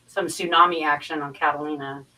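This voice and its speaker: A woman chatting casually as a live-stream guest, explaining things in a halting way with repeated and restarted phrases. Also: low-pitched, fairly even intonation, bright, forceful tone, distant mic, low-quality recording. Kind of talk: casual chat, explaining and recounting.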